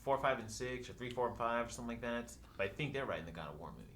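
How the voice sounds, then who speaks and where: A man chatting casually on a podcast, excitable and quick to interject, with some noise behind him. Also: medium pitch, crowd noise. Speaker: podcast panelist. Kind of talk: casual chat. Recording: noticeable background noise.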